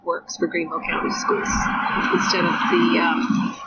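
A woman's voice: on the low side, monotone, normal speed, dull audio, very noisy. A woman guest whose first language is Spanish, chatting in a casual interview and often laughing at herself. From United States. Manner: chatty, laughing, self-deprecating.

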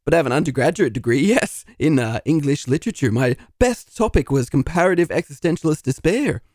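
A man's voice: a little high, expressive, a little fast, a little mellow, no room sound, studio quality. A man voicing a self-pitying failed dungeon master in a comedy audio drama, talking in a melodramatic way. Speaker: narrator.

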